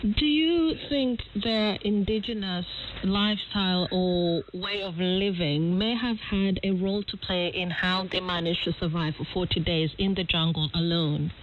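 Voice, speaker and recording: A woman's voice: on the low side, measured pace. Female radio news presenter and interviewer; shortwave sound, band-limited with faint noise. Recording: narrow-band noisy shortwave radio broadcast.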